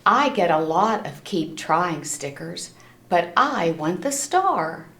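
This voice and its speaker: A woman's voice: lowish voice, very animated, takes it slowly, a bit bright, slight background noise. A woman reading a children's storybook aloud expressively, with simple storytelling and little asides to listeners. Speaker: storybook reader. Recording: echoey room.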